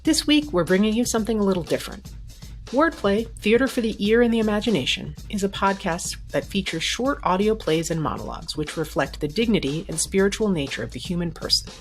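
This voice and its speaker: A woman's voice: mid-range voice, lively intonation, fairly quick, soft background music. A woman hosting a podcast, reading a scripted introduction to the episode. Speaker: podcast host.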